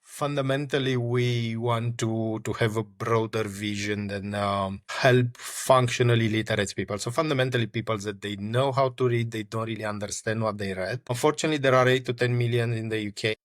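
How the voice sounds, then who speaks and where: A man talks through his answers in a low voice, pausing often on fillers and starting phrases over. The sound is dry and close, with no room around it. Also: fairly even intonation, no room sound. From Romania. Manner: hesitant, many fillers and restarts. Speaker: startup founder and guest. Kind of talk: conversational interview answers.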